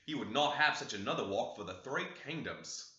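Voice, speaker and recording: Echoey, bright-voiced man reading a classic novel. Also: medium pitch, animated, fairly quick. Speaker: audiobook reader. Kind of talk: scripted narration of a classic novel. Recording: roomy, echoey, slightly dull sound.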